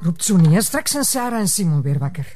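An actress playing a brisk, affectionate, slightly bossy Flemish wife and hostess in naturalistic television drama. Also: female voice, lowish voice, very animated, over music. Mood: flustered, brisk. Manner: colloquial, exclaiming, bossy but affectionate. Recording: noisy TV soundtrack.

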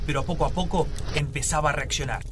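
A man's voice: fairly high pitch, quick pace, background noise. A man narrating a scripted radio drama as storyteller. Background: loud music.

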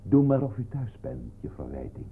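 An actor playing a sly, teasing, predatory fox of a colleague in a radio play, theatrical and insinuating. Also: male voice, lowish voice, very animated, normal speed. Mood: quietly menacing. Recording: tinny, noisy old radio recording.

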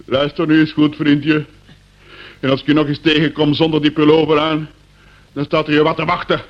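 An actor playing a burly, short-tempered bully of a used-car dealer in a Dutch radio play, barking and mocking. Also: male voice, fairly high pitch, completely flat delivery, a little fast, muffled recording, lightly acted. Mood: gloating, threatening.

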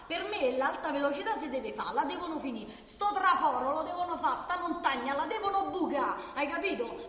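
A woman's voice: high-pitched, fairly bright tone. An actress playing a feisty working-class woman with a Roman accent, badgering and exasperated, repeating herself in a theatrical radio monologue. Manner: exasperated, repetitive, colloquial, badgering. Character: feisty working-class woman arguing for high-speed rail. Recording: distant, echoey hall with noise.

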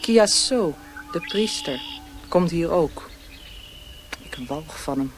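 An actress playing a worldly, languid hostess in a radio drama, calm and detached. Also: female voice, lowish voice, takes it slowly, soft background music.

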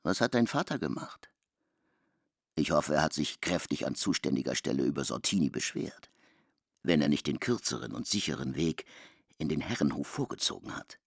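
An actor in a radio play playing a hesitant, sceptical male outsider, with a gravelly voice and probing manner. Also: very deep voice, sing-song, normal speed, no room sound, studio quality, full character voice. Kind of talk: German radio play acting.

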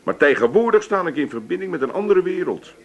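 A man's voice: a little high, big pitch swings, fast. A man playing a glib, cheeky pub chancer with an Amsterdam accent in a comic radio play, persuasive and emphatic. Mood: earnest persuasion.

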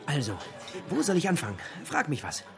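An actor playing a talkative literature buff in a radio drama, lecturing theatrically in pithy, punchy fragments. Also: male voice, a little high, huge pitch swings, a little fast, gravelly, over music, exaggerated voice. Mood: relishing, theatrical. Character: talkative literature buff holding forth in a pub.

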